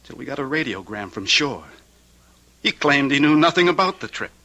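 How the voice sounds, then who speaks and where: Casting: sophisticated young husband with a dark secret, radio drama. Forceful, piercing tone, earnest and deliberate. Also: male voice, mid-range voice, sing-song, full character voice. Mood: confessing, bitter. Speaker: actor. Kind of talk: old-time radio drama acting.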